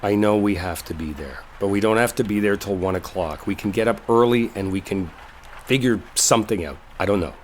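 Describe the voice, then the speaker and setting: A man's voice: fairly low pitch, a little fast, a little hiss or hum, lightly acted. A man acting a brash, exasperated young coworker in an intimate roleplay audio, speaking casually with sarcasm and swearing. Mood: resigned, practical.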